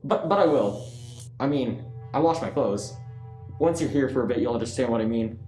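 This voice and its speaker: A man's voice: mid-range voice, moderate pace, dark tone, natural voice. Man as hesitant, worn-out crewman Earl, echoey. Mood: nervous, flustered. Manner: hesitant, awkward. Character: exhausted, scruffy, nervous male crewman, Earl. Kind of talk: radio play acting. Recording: echoey room, faint music.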